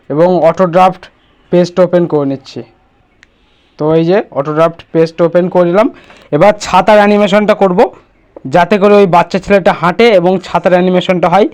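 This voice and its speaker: A man's voice: sits high, a little mellow. A man presenting a casual step-by-step screen tutorial, instructing and often checking that the listener follows.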